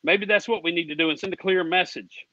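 Fired-up, high-voiced American man ranting, muffled audio. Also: brisk, pushed and bright, dry recording. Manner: combative, indignant, profane; folksy, with "ain't" and "y'all". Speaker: guest caller on a racing fan show. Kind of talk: heated ranting.

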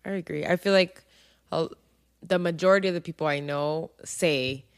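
A woman's voice: deep voice, moderate pace, clear, resonant tone. A woman co-hosting a podcast, talking in a chatty, informal and reflective way. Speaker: podcast co-host. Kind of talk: casual podcast conversation.

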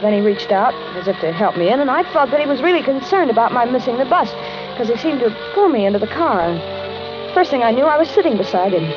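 A woman's voice: fairly high pitch, sing-song, fast talker, over music. An actress playing a polite, trusting young American carhop in a 1940s radio suspense play, hesitant and confiding as she grows uneasy. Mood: hesitant recounting. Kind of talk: 1940s radio suspense acting, narrated in first person. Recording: crackly, muffled 1940s radio recording.

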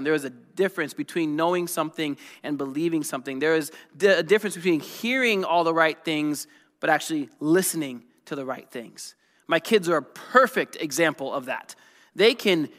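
A man in his thirties preaches with a bright, ringing voice pitched a little high for a man. He talks to the congregation casually, firing questions at them. The sound carries the long reverb of a large hall. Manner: energetic, conversational, asks the crowd questions. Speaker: pastor. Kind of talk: sermon.

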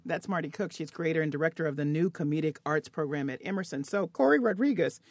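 A woman hosting a radio interview with comedians, enthusiastic and quick to laugh. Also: low voice, expressive, darkish tone, no echo. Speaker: radio host. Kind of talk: interview chat.

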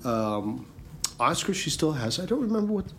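A man's voice: a little high, very animated, normal speed, a bit bright. A man who co-hosts a radio music show and works as a record producer, speaking reflectively with some hesitation. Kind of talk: casual radio interview.

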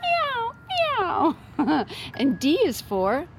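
A woman's voice: sits high, wildly swooping pitch, very slow, vocal fry, full character voice. An actress playing a strained young mother in an audio drama, coaxing with an edge. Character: strained young mother of a sleepless five-year-old. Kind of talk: naturalistic audio drama acting. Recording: music under the voice.

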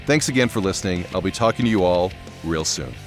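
A man's voice: low-pitched, lively intonation, fast talker, soft background music. A man hosting a podcast, delivering a scripted address to listeners. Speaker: podcast host.

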